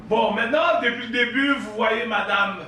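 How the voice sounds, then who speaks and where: A man co-hosting a podcast, joking loudly and mixing in English slang, often laughing. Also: very high-pitched, moderate pace. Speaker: podcast co-host. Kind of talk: loud joking banter. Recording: echoey room, distant mic, noisy.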